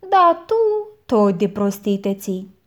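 A woman's voice: mid-range voice, big pitch swings, lightly acted. A woman playing a scolding, preachy aunt. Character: scolding proverb-loving aunt, middle-aged woman.

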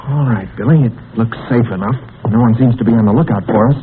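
An actor playing a brave teenage adventurer who takes charge, in a 1940s radio serial on a tinny, hissy old recording. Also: male voice, mid-range voice, natural voice. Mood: hushed. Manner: brisk and take-charge.

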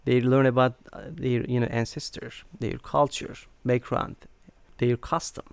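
Man, hesitant and clear. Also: medium pitch, little pitch movement, measured pace, dry recording. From Turkey. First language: Turkish. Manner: hesitant, many fillers and restarts. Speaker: interview guest. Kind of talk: conversational interview.

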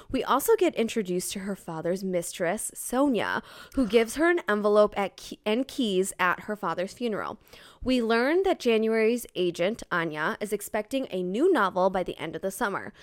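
A woman's voice: mid-range voice, dry recording, clean studio sound. A young adult woman co-hosting a podcast, chatting casually about a book in informal speech full of "like". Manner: informal, filler-heavy with frequent "like". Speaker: podcast co-host. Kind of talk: casual book discussion chat.

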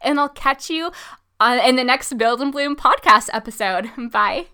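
A woman's voice: high-pitched, a little slow, background noise. A twenty-five-year-old woman hosting a podcast, chatting casually and upbeat, with lots of "like" and "um", answering listener questions. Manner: chatty, upbeat, lots of "like" and "um", laughs between thoughts. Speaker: podcast host.

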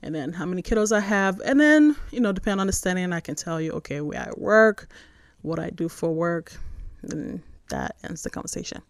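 A woman's voice: on the low side, animated, a little fast, no room sound, clean studio sound. A woman in her forties from Nigeria, born in New York, hosting a devotional podcast in a conversational, emphatic way. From born in New York, raised in Nigeria. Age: 40s. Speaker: podcast host, church spiritual formation director. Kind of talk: casual devotional podcast talk.

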